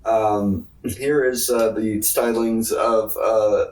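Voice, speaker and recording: A man's voice: fairly low pitch, little pitch movement, takes it slowly, a little mellow. A man co-hosting a podcast in casual, joking banter, rambling through irreverent tangents. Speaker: podcast co-host. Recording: echoey room, distant from the mic.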